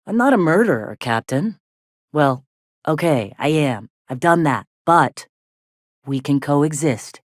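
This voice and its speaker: Gruff frontier rebel medic, close and dry. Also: female voice, low-pitched, expressive, slow, no room sound, heavily acted. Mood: wry, defensive. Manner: folksy, blunt, drawling slang with swearing. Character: gruff, plainspoken frontier woman medic, weary but principled rebel. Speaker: video game character, medic and deputy leader. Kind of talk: video game dialogue.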